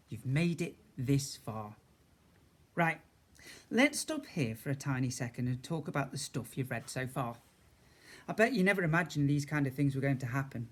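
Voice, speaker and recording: A man's voice: medium pitch, breathy edge. A man reading a children's story aloud as a teacher would, expressively, with chatty asides to his young listeners.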